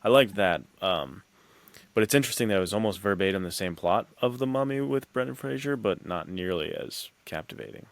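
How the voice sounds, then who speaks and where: A man's voice: lowish voice, animated, brisk, no room sound. A man hosting a podcast, chatting casually and giving commentary on films and books. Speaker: podcast host. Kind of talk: casual chat, film commentary.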